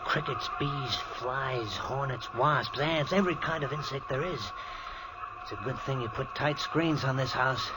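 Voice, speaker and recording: An actor playing a blunt, matter-of-fact helper in a 1940s radio drama. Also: male voice, medium pitch, measured pace, close to an everyday voice. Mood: tense. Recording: old radio recording with clear hiss.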